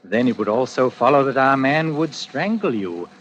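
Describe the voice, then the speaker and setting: An actor as a smooth, swooping-voiced sinister strangler. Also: male voice, medium pitch, lively intonation, a bit bright, dull audio, close to an everyday voice. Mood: quietly menacing. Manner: measured, insinuating, formally polite. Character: sinister strangler, coolly menacing man with polite manners. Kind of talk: 1940s radio suspense acting. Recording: noisy old-time radio recording.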